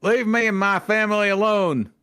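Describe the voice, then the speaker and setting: A man's voice: high voice, normal speed, bright, forceful tone, clean tone, dry recording, clean studio sound. A man playing a stern, menacing man protecting his family in a fan fiction reading. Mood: threatening. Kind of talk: fan fiction read in character.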